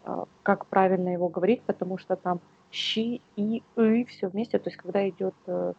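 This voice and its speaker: A woman from Ukraine co-hosting a casual podcast, speaking in a halting, searching way. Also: mid-range voice, steady tone, creaky, slightly rough, muffled recording. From Kyiv, Ukraine. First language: Russian. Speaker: podcast co-host.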